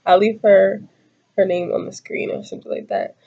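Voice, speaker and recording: A woman's voice: medium pitch, little pitch movement, normal speed, vocal fry, warm tone, highs cut off. A woman in her twenties chatting casually to camera, a bit congested from a cold, giggly and rambling in slangy talk. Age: 20s. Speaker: YouTuber doing a tag video. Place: small room at home, indoors.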